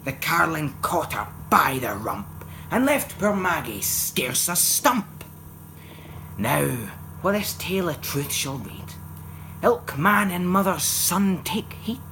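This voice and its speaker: A Scottish man recites narrative verse in a theatrical, expressive manner. His pitch moves widely and his pacing is unhurried. The recording carries clear background noise. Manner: theatrical, expressive, swinging from hushed to loud. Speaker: poetry reciter. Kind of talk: dramatic recitation of a Scots narrative poem.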